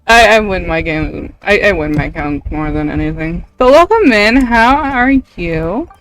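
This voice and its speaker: A woman's voice: on the low side, expressive, normal speed, faint music behind, low-quality recording. A young adult woman livestreaming a game with casual, offhand, sweary chat.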